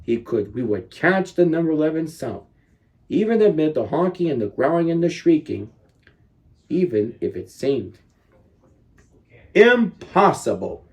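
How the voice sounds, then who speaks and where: Man reading slowly with very animated pitch. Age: 30s. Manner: deliberate, dramatic emphasis on key words. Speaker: storyteller reading children's books aloud. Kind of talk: expressive picture book read-aloud.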